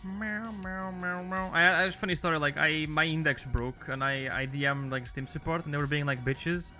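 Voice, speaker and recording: A man's voice: on the high side, fairly even intonation, a little fast, bright, forceful tone, soft background music. A man from Portugal chatting loosely on a live game stream, joking, swearing freely, and making playful meowing noises. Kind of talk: casual gaming stream chat.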